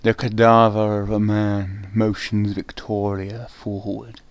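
A man narrating a gothic radio play in a deliberate, theatrical scripted style. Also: lowish voice, flat delivery, clean tone, dry recording, muffled recording. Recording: faint music behind narration.

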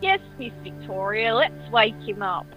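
A woman acts a dry grave-robbing henchwoman in a radio drama. She is measured and matter-of-fact, with a slightly raised pitch. The sound is a little dull, with faint music underneath. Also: big pitch swings. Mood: businesslike. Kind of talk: amateur radio play acting.

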